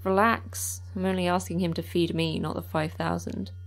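A woman's voice: fairly low pitch, steady tone, moderate pace, slight background noise, close to an everyday voice. An actress playing a terse, sardonic female commanding officer in a sci-fi horror audio drama. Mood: dismissive, terse. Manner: terse, dry, dismissive.

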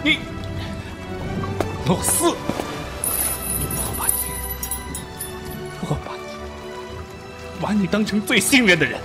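Male actor for young army officer, impassioned and anguished; highish voice with huge melodic swings, music and rain behind. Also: very animated, slow, pauses often, heavily acted. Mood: heartbroken, bitter. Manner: impassioned, breaking into bitter laughter and hushed pleading. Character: passionate young Nationalist army officer, betrayed and anguished in love. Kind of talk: emotional Chinese radio drama acting.

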